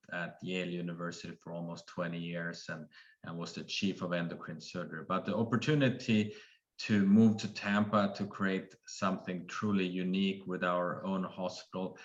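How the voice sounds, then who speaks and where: A middle-aged man from Sweden with a Swedish accent, giving a webinar presentation as a surgeon in a halting way, full of fillers and restarts. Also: deep voice, dull audio. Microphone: video call.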